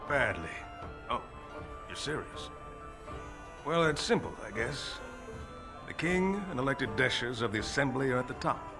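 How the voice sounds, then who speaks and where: A man's voice: mid-range voice, expressive, a little slow, pauses often, over music. A man playing a wry, self-deprecating dwarf nobleman in a video game, with a casual, sardonic delivery. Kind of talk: video game character acting.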